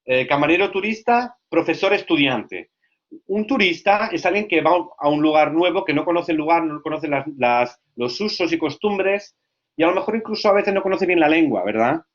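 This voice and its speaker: Male lecturer, teacher trainer; lively, questioning delivery; a bit high; muffled low-fi audio. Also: normal speed. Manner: lively, asks frequent check questions.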